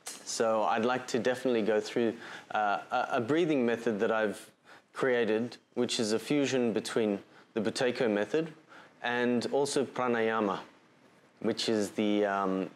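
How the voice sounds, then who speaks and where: A man in his thirties with an Australian accent, from Bali, giving a calm, measured livestreamed health talk to camera, seated indoors on a lapel mic. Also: on the low side. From Bali, Indonesia, and Australia. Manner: measured, calm explanatory delivery with pauses and fillers. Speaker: holistic health practitioner presenting a talk. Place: indoor room with shelf and framed photos. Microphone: lapel mic, seated facing camera.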